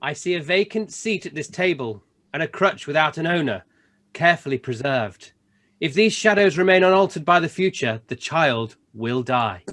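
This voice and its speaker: A man playing a stern, solemn Christmas spirit in an amateur radio play, speaking in a deliberate, formal way. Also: fairly high pitch, lively intonation, fairly bright tone. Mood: grave. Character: solemn, rebuking male Spirit of Christmas Present.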